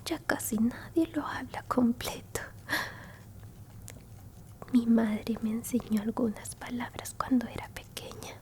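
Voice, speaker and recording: A woman voicing a gentle alien grandmother in a soothing, tender, unhurried whisper for an ASMR roleplay. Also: a little high, lightly acted. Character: gentle alien grandmother comforting a lost traveler.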